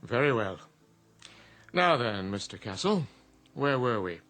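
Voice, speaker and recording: A man's voice: medium pitch, sing-song, measured pace, a bit bright, faint music behind. A man playing a suave, courteous genie in a radio drama, with an urbane and formal manner. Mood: smooth, sly. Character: suave, courteous male genie granting wishes.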